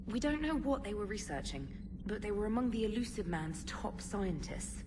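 An actress voicing a female ship intelligence that reports in a precise, measured way. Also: medium pitch, sing-song, noisy background, close to an everyday voice.

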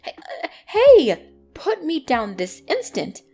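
Woman acting a bratty tsundere catgirl, very expressive. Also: sits high, slow, soft background music, heavily acted. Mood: indignant, bossy. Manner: indignant, defensive, stammering when flustered. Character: bratty, flustered young female tsundere catgirl. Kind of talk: ASMR roleplay acting addressed to the listener.

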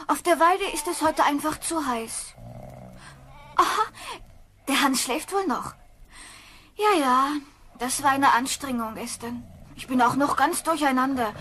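A woman's voice: high-pitched, pushed and bright, a little hiss or hum. An actress playing a simple, good-natured, plump young village girl in a children's fairy-tale radio play, chatting naively.

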